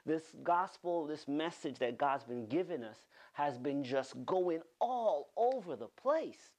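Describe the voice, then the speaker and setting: A man in his thirties, a pastor delivering an animated online sermon from a studio, repeating words for emphasis. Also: a little high, slow. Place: studio with green-screen backdrop.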